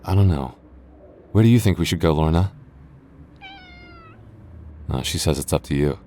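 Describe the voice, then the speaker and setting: A man playing a deep-voiced, teasing goth boyfriend. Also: lively intonation, moderate pace. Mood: affectionate. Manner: soft, intimate, playfully teasing. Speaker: voice actor. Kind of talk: boyfriend ASMR roleplay.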